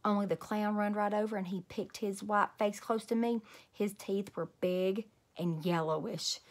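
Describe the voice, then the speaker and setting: A woman in her thirties reading a children's story aloud in an animated, emphatic way. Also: medium pitch, normal speed. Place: small room at home.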